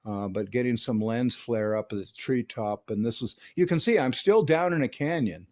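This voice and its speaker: A man's voice: mid-range voice, normal speed, a little mellow, no echo, clean studio sound. A man hosting a hobby video, explaining a hands-on job step by step in a folksy way. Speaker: host of a radio hobby channel. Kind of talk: hands-on how-to demonstration and narration.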